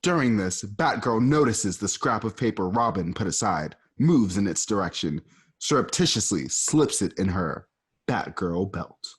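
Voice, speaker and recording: Narrator: man, husky, lively pitch, casually reads stage directions with loose asides. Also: a little slow. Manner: casual, animated, adds asides. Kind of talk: radio play narration.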